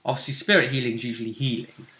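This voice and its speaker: A man casually talking through a tabletop role-playing game as game master, often pausing and thinking aloud in broken phrases. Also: mid-range voice, fairly even intonation, sharp tone. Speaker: game master, host of a role-play vidcast. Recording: roomy, echoing room sound.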